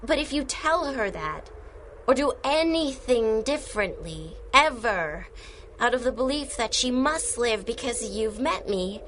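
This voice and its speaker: Young female superhero, earnest and plucky, higher-pitched, radio serial with background noise. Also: lively intonation, measured pace, fairly bright tone. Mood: earnest, urgent. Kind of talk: radio drama acting.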